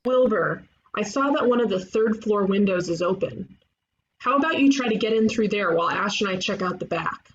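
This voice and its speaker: A woman playing a blunt, impulsive young detective in an animated comedy table read. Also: mid-range voice, normal speed, natural voice. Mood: impatient. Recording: dull, noisy home microphone.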